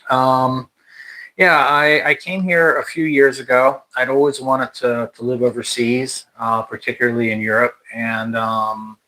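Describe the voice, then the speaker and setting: A man's voice: medium pitch, little pitch movement, unhurried, bright, forceful tone, poor audio. An American man hosting a casual livestream chat, talking in a rambling way with many restarts and fillers. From United States. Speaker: channel host. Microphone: livestream mic.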